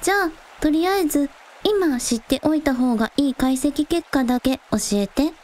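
A woman's voice: high voice, moderate pace, darkish tone, a little hiss or hum, lightly acted. A woman playing a casual, blunt young village idol in a radio drama, with breezy, slangy, matter-of-fact delivery. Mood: curious, casual. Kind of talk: Japanese radio drama acting.

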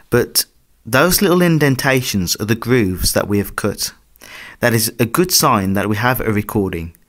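A man's voice: lowish voice, fairly bright tone. Man explaining a demonstration. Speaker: presenter demonstrating antique recording. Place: hallway of a house, indoors.

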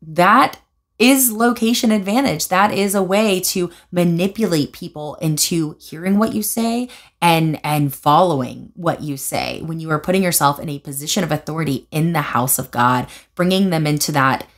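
A young woman with a slightly low voice speaks to camera from a couch at home. She explains her views in an animated way, gesturing as she talks and pausing on fillers and restarts. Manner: thoughtful with frequent fillers and restarts. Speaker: video essayist. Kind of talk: casual commentary vlog. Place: living room, seated on a couch indoors.

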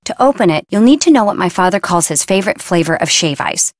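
Casting: a woman to play a young surfer girl for a video game. Blunt, breezy, slangy delivery with lively swings in pitch, recorded dry. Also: quick pace, dry recording, lightly acted. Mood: confiding, matter-of-fact. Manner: casual, slangy, flippant. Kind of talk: video game voice acting, casual slangy dialogue.

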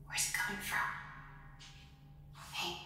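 A woman playing a nervous but curious haunted-house explorer in an ASMR roleplay, speaking in a breathy, whispery, hesitant hush. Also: soft background music. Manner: hushed, hesitant, halting delivery. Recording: very echoey, reverberant, with noisy ambience.

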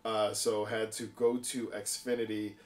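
A man's voice: lowish voice, little pitch movement, a little slow, far from the mic. An American man hosting a casual podcast chat, talking in a slangy, rambling way. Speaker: host. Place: indoor room full of display cabinets. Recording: echoey room.

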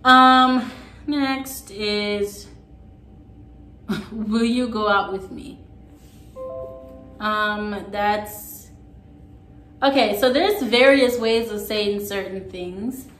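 A woman's voice: medium pitch, very slow, pauses often, fairly bright tone, faint music behind. Sassy young Jamaican woman in an echoey room. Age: young adult. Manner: chatty, sassy asides. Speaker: vlogger. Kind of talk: casual chat to camera. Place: small room at home, on a couch.

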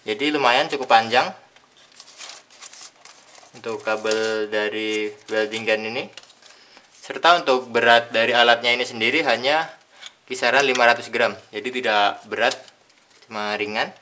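A young man with a bright, forceful voice walks through a tool's features in a hands-on unboxing demonstration. He speaks in plain steps, pausing between phrases as he handles each part on a tabletop. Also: medium pitch, slight background noise. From Indonesia. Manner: plain step-by-step explaining with pauses. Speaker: product reviewer. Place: indoors at a tabletop.

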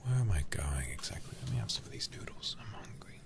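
A man streaming a game ASMR-style into a close microphone, speaking softly and intimately with frequent whispering, in an affectionate, languid manner. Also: a bass voice, very animated, quick pace. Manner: breathy, often whispered, intimate; affectionate, doting toward viewers. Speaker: livestreamer.

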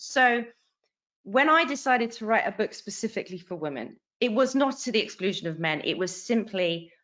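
A woman in her forties talks earnestly through a video call, animated and quick to restart her sentences. Her voice sits in a middle register. The webcam audio is dull and lo-fi. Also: mid-range voice. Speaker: author and guest. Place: bright home room.

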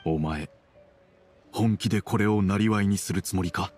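A man playing a gruff but fond middle-aged father in an anime game, speaking in a measured, formal, slightly awkward way. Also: low voice, a little mellow. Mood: gruff, approving. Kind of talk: Japanese anime game dialogue acting.

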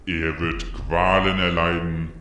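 An actor playing Satan, a menacing, grandiose lord of hell, in an audio drama. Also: male voice, low-pitched, little pitch movement, slow, sharp tone, full character voice. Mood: vengeful, triumphant. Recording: echoey, faintly noisy radio-play scene.

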